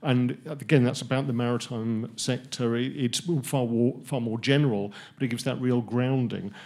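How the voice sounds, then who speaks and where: A middle-aged man answers into a handheld mic on a conference panel in a large hall. His voice is mid-pitched, and he starts with hesitation before settling into explanation. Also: fairly even intonation, normal speed, a little mellow. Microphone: handheld microphone.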